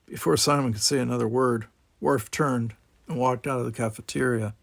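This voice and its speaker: A man's voice: medium pitch, fairly even intonation, normal speed, vocal fry, noisy background. A man reading a story aloud as scripted audiobook narration. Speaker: audiobook reader.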